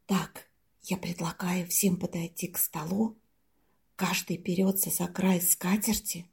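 A woman acting a determined young woman in an amateur audio play, giving hushed orders with a creaky, husky voice. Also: lowish voice, little pitch movement, measured pace, voice pushed down, heavily acted.

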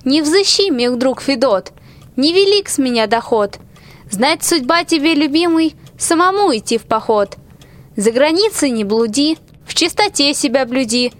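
Actress as devoted young wife, high pitch, resourceful, rhymed radio drama. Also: female voice, animated, normal speed. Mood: tender, advising. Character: caring, resourceful young wife with magic helpers. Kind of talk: fairy-tale radio play.

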